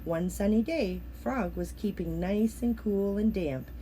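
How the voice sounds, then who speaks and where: Slow, mellow woman reading a children's story. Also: medium pitch, slight background noise, low-quality recording. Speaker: storybook reader. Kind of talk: expressive children's story narration.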